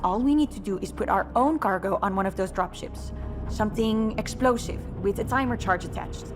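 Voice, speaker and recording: A woman's voice: mid-range voice, brisk, over music, close to an everyday voice. An actress playing a level-headed, resolute female rebel leader in dramatic video game dialogue, matter-of-fact and commanding. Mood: businesslike.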